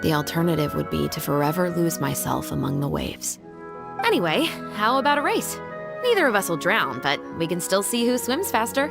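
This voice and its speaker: A woman playing a resolute, composed young patrol officer in a video game, speaking in an earnest, measured, formal way. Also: on the low side, big pitch swings, gravelly. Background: background music.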